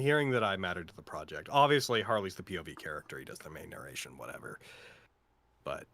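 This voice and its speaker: A man who works as a voice actor, talking casually and reflectively in a talkative, expressive way. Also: sits low. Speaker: voice actor in an audio drama. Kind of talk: casual reflective cast chat.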